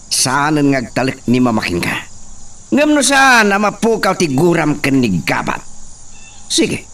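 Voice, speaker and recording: Mocking young hacienda boss, radio drama. Northern Philippine accent, highly animated, commanding, recorded at some distance over faint noise. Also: male voice, measured pace, exaggerated voice. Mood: angry, taunting. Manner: commanding, taunting.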